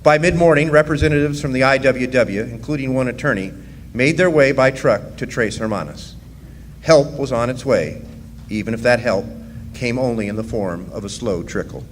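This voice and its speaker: A man acting as narrator in a radio drama, recounting his own past in measured storytelling, recorded in a big hall. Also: mid-range voice, close to an everyday voice. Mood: earnest, measured. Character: man recalling his part in a miners' deportation. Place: live performance in a large hall. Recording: echoey hall, background noise.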